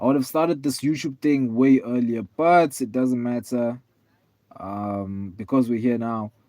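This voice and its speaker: A man in his twenties, tired, chatting casually with viewers on a live stream in a relaxed, rambling way with lots of fillers. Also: medium pitch, measured pace, dry recording. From South Africa. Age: 20s. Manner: laid-back, rambling, many fillers, calls viewers man and bro.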